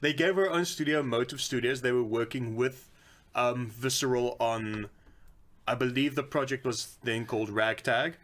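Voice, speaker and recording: Give this voice to a man co-hosting a casual podcast. He talks at an ordinary mid pitch and states his opinions bluntly. Swearing comes easily into his chat. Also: moderate pace, a bit bright. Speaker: podcast co-host.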